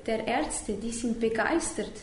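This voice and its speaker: A woman interprets live in a fairly high voice. She searches for words and corrects herself along the way. The room echoes around her, with faint noise behind. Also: a little slow. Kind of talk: consecutive spoken interpretation.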